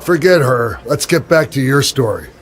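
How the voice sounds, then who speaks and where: A man's voice: mid-range voice, expressive, normal speed, a little hiss or hum, close to an everyday voice. A man playing an easygoing, friendly rival wrestler in a video game cutscene, casual and good-humoured. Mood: casual, nostalgic.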